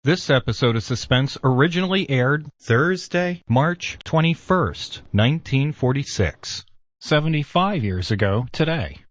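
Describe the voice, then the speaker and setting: A man's voice: mid-range voice, expressive, measured pace, no room sound. A man reading a scripted radio station announcement.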